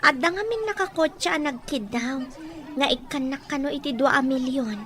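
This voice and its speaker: A woman's voice: high-pitched, moderate pace, fairly bright tone, a little hiss or hum. A Filipino woman playing a poor young woman, naive, wary and earnest, in an audio drama.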